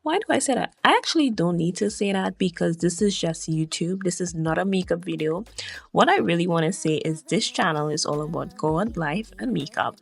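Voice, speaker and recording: A woman's voice: on the low side, expressive, brisk, creaky, breathy edge. A woman with a Trinidadian accent, chatting casually as she narrates her day in a vlog, rambling from one topic to the next. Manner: chatty, digressive and upbeat. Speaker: vlogger.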